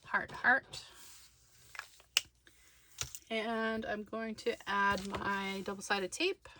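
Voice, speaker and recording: A woman's voice: mid-range voice, little pitch movement, extremely slow, background noise. A woman narrating a hands-on craft tutorial casually, thinking aloud as she works. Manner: informal, thinking aloud while working.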